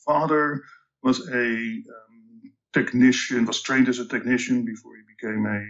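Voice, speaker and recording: A man in his sixties with a Dutch accent tells his story slowly and carefully, pausing often with ums and restarting his sentences. His voice sits at an average pitch. The recording sounds a little dull and muffled. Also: fairly even intonation. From Netherlands. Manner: measured, hesitant with many ums and restarts. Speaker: guest. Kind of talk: reflective career storytelling.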